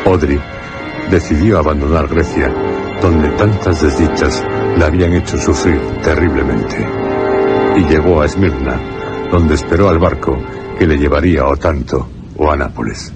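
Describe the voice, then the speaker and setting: A man's voice: a bass voice, normal speed, over loud music. A man narrating a suspense radio drama solemnly, phrase by phrase.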